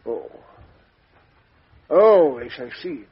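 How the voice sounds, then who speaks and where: Fastidious sleuth, hesitant, slightly high, 1950s radio play, dull lo-fi. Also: male voice, animated, husky, full character voice. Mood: musing. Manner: hesitant, musing, stammering repeats. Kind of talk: 1950s radio drama acting. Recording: dull lo-fi old radio recording.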